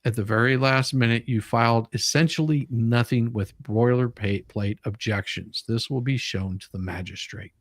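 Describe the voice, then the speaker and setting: A man's voice: medium pitch, normal speed, few pauses, no echo, studio quality. A man casually hosting a live talk, reading documents aloud and explaining them in a blunt way. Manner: blunt, mildly profane, explanatory. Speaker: online show host.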